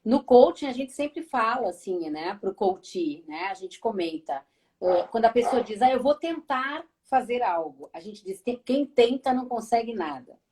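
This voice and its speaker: Gaúcha woman, higher voice, talking to viewers. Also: normal speed. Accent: southern Brazilian gaúcho. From Rio Grande do Sul, Brazil. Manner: lively, addresses viewers directly, frequent tag questions. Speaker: holistic therapist hosting a live stream. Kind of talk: conversational live talk.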